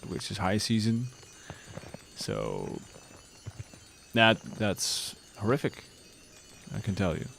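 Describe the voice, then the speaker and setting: A man in his thirties, streaming live and chatting casually with viewers in a relaxed, rambling way. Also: medium pitch, a little hiss or hum.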